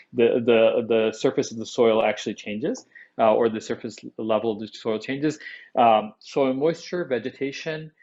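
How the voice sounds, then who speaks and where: Male panelist, mid pitch, halting unscripted delivery, slightly muffled lo-fi audio. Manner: restarts and repeats words often. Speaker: panel speaker. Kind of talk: conference panel remarks.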